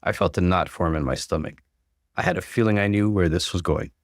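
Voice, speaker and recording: A man narrating a first-person story in an earnest, clearly articulated, dramatic way. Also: deep voice, brisk, no echo, clean studio sound.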